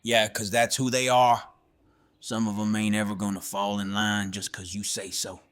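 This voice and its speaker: A man playing a gruff, stubborn, resentful survivor in an audio drama, with clipped, folksy speech. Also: on the low side, flat delivery, moderate pace, fairly bright tone, no echo, close to an everyday voice. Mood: bitter.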